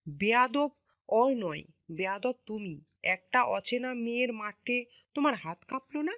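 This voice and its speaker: A woman's voice: fairly high pitch, expressive, a little slow, a bit bright, no echo. A woman playing a distressed, stern middle-aged mother with a rural Bangladeshi accent in a dramatised audio story. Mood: stern, tearful. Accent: rural Bangladeshi dialect. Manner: plaintive, reproachful. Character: distressed, stern mother defending her daughter-in-law.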